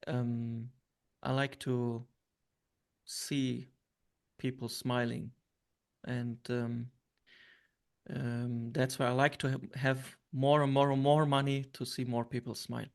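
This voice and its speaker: Syrian guy, gravelly voice, lots of ums and restarts in an interview. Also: mid-range voice, little pitch movement, slow, pauses often, a little mellow. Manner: hesitant, many fillers and restarts. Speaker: interview guest. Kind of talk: reflective interview answers.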